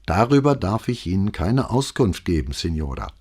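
A man voicing an unbothered male police dispatcher who answers flatly. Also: deep voice, moderate pace, husky, pitched above the performer's own voice, full character voice. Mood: unmoved. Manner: flat and unbothered.

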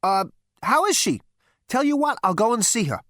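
Audiobook space rogue, voiced by a male reader: raised pitch, nervous stammer, flustered and hesitant before his elders. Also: sing-song, moderate pace, pushed and bright, no echo, clean studio sound, heavily acted. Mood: nervous, apologetic. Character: brash male alien adventurer, flustered by his great-grandfather.

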